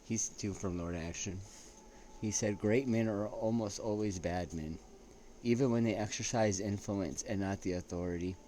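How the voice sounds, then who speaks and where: Low voiced guy just rambling, chill and halting, kinda noisy ambient recording. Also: warm tone. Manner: laid-back, halting, often checking in with "right?" and "you know". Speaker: storytelling vlog host. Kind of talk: rambling casual chat with read-out quotes.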